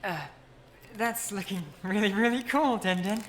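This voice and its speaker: A woman's voice: medium pitch, a little slow, noisy background, natural voice. An actress playing a doubtful young woman who hedges and hesitates with many fillers. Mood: uneasy. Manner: hesitant, filler-heavy, unsure.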